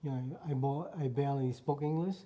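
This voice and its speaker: Vietnamese-accented man, very dark voice. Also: mid-range voice, fairly quick. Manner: earnest, repeats phrases while recalling. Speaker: guest. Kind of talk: casual conversation.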